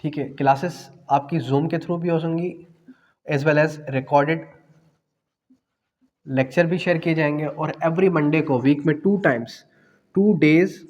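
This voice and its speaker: A man's voice: a little high, a little mellow. Pakistani male tutor in a reverberant hall. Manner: explanatory, mixes in English phrases, poses questions and answers them. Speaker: law exam tutor. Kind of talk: lecture to exam students.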